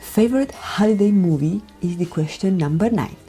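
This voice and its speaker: A woman from Romania with a Romanian accent, speaking a gentle, careful voiceover for her makeup tutorial, often dropping near a whisper. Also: medium pitch, animated, moderate pace. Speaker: beauty vlogger. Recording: voiceover with background music.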